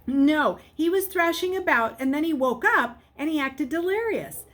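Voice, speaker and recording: A woman's voice: high voice, lively intonation, moderate pace, a bit bright, pitched above the performer's own voice. A woman voicing a nervous, stammering boy in a storybook, flustered and hesitant as he bluffs. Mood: panicked, stammering. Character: nervous, stammering boy telling lies, easily panicked. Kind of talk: storybook character voice. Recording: clearly noisy background.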